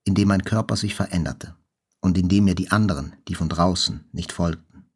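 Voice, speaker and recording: An actor playing a wounded journalist, narrating his hospital recovery in a measured, reflective literary style. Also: sits low, slightly rough. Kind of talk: scripted literary narration, radio play.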